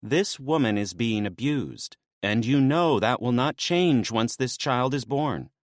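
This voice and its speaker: A man voicing a sharp-tongued, bossy female maenad with a dry, mocking manner. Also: mid-range voice, expressive, unhurried, no room sound, pitched above the performer's own voice, close to an everyday voice. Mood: sarcastic.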